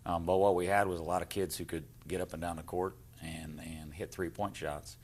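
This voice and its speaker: Deep-voiced male basketball coach. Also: fairly even intonation, fairly quick. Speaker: high school head basketball coach. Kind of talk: conversational interview answers.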